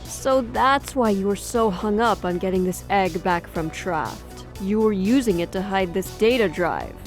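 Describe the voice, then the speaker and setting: A woman's voice: mid-range voice, lively intonation, unhurried, faint music behind. A woman playing a no-nonsense, sardonic senior police officer in an audio drama. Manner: firm, dry, sardonic.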